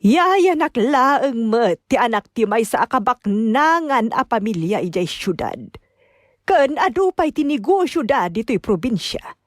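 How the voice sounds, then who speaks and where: A woman's voice: fairly high pitch, sing-song, measured pace, dry recording. An actress with an Ilocano accent playing a protective, worried mother, hurt and indignant, in a radio drama. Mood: indignant, distressed. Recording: dry studio with background music.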